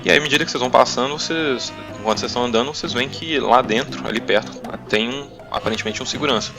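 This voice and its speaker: A man's voice: mid-range voice, racing, over music. A young Brazilian man improvising tabletop RPG narration as game master, hesitantly searching for his words.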